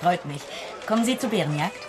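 An actress playing a brisk, dry, practical small-town café waitress in a German radio crime drama. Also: female voice, on the low side, lively intonation, lightly acted.

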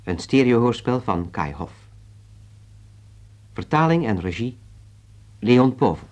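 A man announcing a stereo radio play in a measured, formal tone. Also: medium pitch, big pitch swings, a little mellow.

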